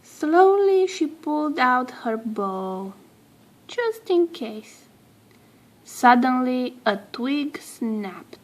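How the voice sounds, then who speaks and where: Lady w a high voice reading a kids story out loud, really slow and expressive. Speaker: storybook reader. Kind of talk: read-aloud children's story narration.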